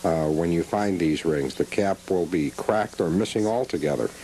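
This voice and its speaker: A man talking as a guest collector in a casual interview, explaining things in a measured way with dry wit. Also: sits low, mellow, low-quality recording. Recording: faint hum, 1980s cable TV audio.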